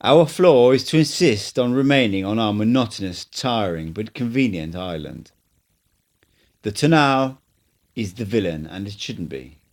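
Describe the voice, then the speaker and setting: Guy reading as an old sorcerer guy, calm and cryptic, lowish voice, lecturing slow and steady. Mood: patient. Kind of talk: audiobook dialogue reading.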